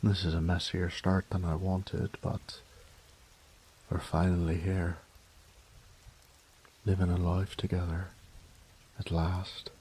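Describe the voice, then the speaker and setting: A man's voice: low-pitched, flat delivery, takes it slowly, long pauses, a little mellow, husky, exaggerated voice, low-quality recording. A man playing a charming reformed thief and flirtatious, tender fiancé in an intimate romantic audio roleplay, murmuring and dropping into whispers. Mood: tender, hushed.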